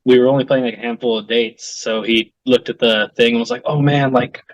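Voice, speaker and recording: A man's voice: mid-range voice, fairly even intonation, brisk, no room sound, poor audio. A man in a punk band telling an easygoing tour story with many fillers. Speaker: band member guest. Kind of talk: casual interview chat.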